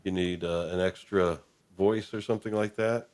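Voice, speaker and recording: A man's voice: low-pitched, steady tone, normal speed, darkish tone, clean tone. A man hosting a live stream in casual chat, talking in a hesitant, rambling, appreciative way.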